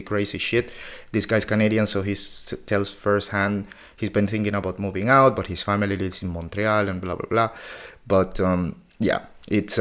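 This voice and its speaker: A man in his forties recapping a podcast episode in a casual, blunt, opinionated way, swearing often. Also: lowish voice. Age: 40s.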